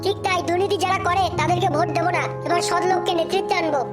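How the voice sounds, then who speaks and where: A firm, outspoken cartoon village woman acted by a woman with an extremely high voice. She talks quickly and righteously, over music. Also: steady tone. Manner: emphatic, righteous. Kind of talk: comedy cartoon dubbing. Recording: music behind the voice.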